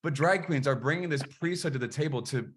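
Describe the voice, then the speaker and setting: A man's voice: on the high side, brisk, slightly rough. Earnest, impassioned man hosting. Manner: earnest, impassioned, preacher-like phrasing. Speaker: podcast host. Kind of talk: casual interview chat.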